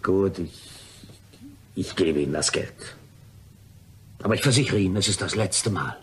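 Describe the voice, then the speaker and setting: An actor playing a harried, irritable writer in a German radio crime drama, husky and exasperated, curt and prone to outbursts. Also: male voice, sits low, normal speed, close to an everyday voice. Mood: despairing resignation. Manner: exasperated, curt, swinging between outbursts and resignation. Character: harried, irritable male writer being blackmailed.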